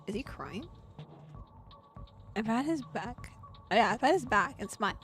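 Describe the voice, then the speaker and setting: A young adult woman streaming, narrating a visual novel aloud and breaking into excited, laughing reactions. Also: on the high side, normal speed, faint music behind. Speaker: VTuber streamer.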